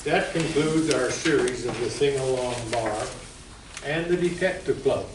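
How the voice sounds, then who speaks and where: A man's voice: medium pitch, unhurried. A man making a scripted closing announcement for a live show. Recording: distant, very noisy echoey room.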